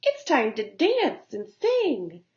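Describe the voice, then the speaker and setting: A playful, carefree grasshopper from a children's storybook , performed by a woman. The voice is high and lilting, drawing words out slowly in a merry sing-song. The recording is a little dull. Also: wildly swooping pitch, a little mellow, full character voice.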